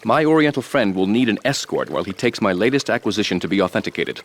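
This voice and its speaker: A man voicing a suave, scheming rich tycoon who speaks in a calm, polished, dryly ironic way. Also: fairly low pitch, fast talker, a little hiss or hum, close to an everyday voice. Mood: smooth.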